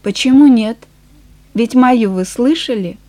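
A woman's voice: a little high, measured pace, mellow, no room sound, close to an everyday voice. A woman voicing a downcast, hesitant middle-aged shop woman in an audiobook, subdued in manner. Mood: glum, reserved. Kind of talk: audiobook character dialogue.

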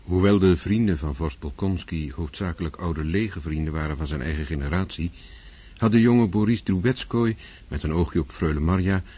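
Casting: male radio play narrator, very deep, husky voice, slightly dull recording. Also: warm tone. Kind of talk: scripted narration.

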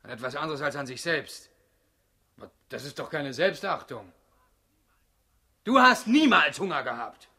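A man's voice: on the high side, animated, unhurried, vocal fry, pauses often, bright, forceful tone, full character voice. An actor in a German radio drama playing a proud, resentful working-class young man who talks bluntly and needles others.

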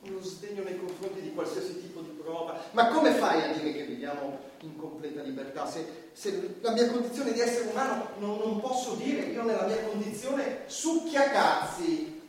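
An actor playing a defiant, impassioned comedian on trial, arguing in an emphatic, provocative and pleading theatrical manner. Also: male voice, high voice, animated, moderate pace. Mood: bitter, insistent. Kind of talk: theatrical stage monologue and courtroom argument. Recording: very echoey stage, distant mic, background noise.

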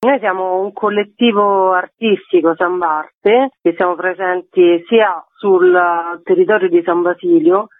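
A woman's voice: lowish voice, fairly even intonation, measured pace, few pauses, no room sound. A woman speaking as a guest festival curator in a radio interview, explaining her project. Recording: tinny phone line on radio.

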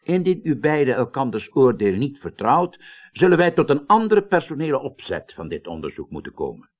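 Radio play role: fussy, paternal man in authority, very animated pitch, formal phrasing. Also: normal speed. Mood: formal, indignant. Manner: fussy, interrupting, formally polite. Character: fussy, paternal, formal male senior official. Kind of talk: Dutch radio drama acting.

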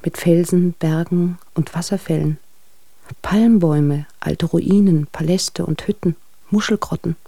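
A wry, gossipy letter writer in a radio play, with a creaky, mellow voice. She reports in a dry, observant way. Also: on the low side, a little slow, no room sound, heavily acted. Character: wry, gossipy letter-writing friend of a couple. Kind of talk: radio drama reading of letters.

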